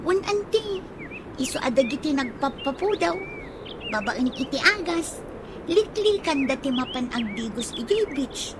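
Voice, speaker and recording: A woman's voice: sits high, sing-song, a little slow. A woman playing a perky, cheeky young niece in a radio comedy drama, speaking with an Ilocano accent. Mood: cheeky, coaxing. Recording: noisy audio with birds.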